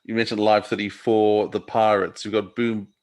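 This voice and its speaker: A man's voice: lowish voice, little pitch movement, normal speed, a little mellow. A man interviewing a guest on a podcast, phrasing his questions in a tentative, conversational way.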